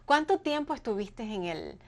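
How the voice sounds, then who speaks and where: A woman's voice: on the high side, big pitch swings, normal speed, a little mellow. A woman hosting an interview, who encourages her guest and sums up what she has said. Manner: encouraging, recaps the guest's words. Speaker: podcast host.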